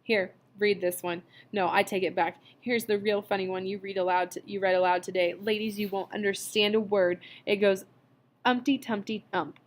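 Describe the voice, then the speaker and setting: A woman's voice: mid-range voice, fairly even intonation, normal speed, a little hiss or hum, close to an everyday voice. A woman voicing a nervous wife who covers up with sugary, quavering cheer.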